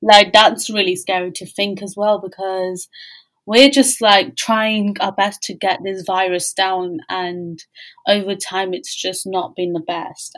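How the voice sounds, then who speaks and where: A woman with a medium-pitched voice hosts a casual interview. She talks informally, feeling her way through phrases in a halting, conversational style. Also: rough recording. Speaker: interviewer, podcast host.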